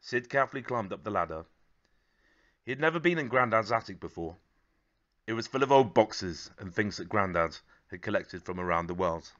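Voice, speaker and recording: A man in his thirties narrating a children's story expressively, with measured, gently dramatic phrasing. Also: lowish voice, dull audio. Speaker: storybook reader.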